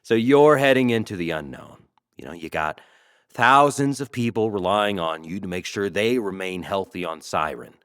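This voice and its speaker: A man playing a brash, self-adoring talk show host in an audio drama, showy, flattering and teasing. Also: deep voice, moderate pace, smooth. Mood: wry, cajoling.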